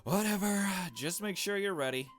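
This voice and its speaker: A man voicing a haughty, dismissive rich young woman from a visual novel in a brisk, bossy manner. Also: sits high, expressive, soft background music, pitched above the performer's own voice. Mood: curt.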